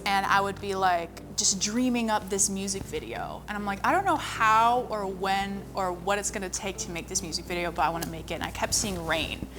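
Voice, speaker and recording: A woman's voice: medium pitch, fairly quick, hardly any pauses. Chatty young woman over music. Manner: chatty, frequent "like" fillers. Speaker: singer and model. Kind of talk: casual personal storytelling. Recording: music playing underneath.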